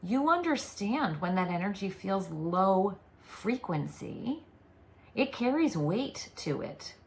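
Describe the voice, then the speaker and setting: A woman delivering channeled spiritual guidance in a calm, unhurried way. She speaks as a collective "we", and her voice carries a noticeable gravelly fry. Her pitch sits in an ordinary middle range for a woman. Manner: slow, deliberate, soothing delivery.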